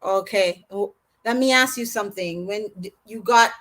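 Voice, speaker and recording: A woman hosts the interview and moves it along with short prompting questions and quick backchannels. Her voice is bright and clear, and its pitch sits at an ordinary middle level for a woman. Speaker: interview host.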